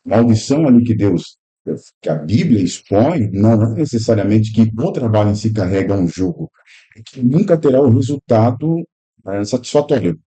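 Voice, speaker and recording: A man in his thirties with a very low, dark, mellow voice talks through biblical ideas at a relaxed, thoughtful pace. He speaks as a guest pastor and teacher in a casual podcast, piling up phrases and checking in with "né?". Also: moderate pace. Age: 30s. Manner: reflective, explanatory, speaks in long run-on phrases with frequent "né?". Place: improvised room at a pastors' convention.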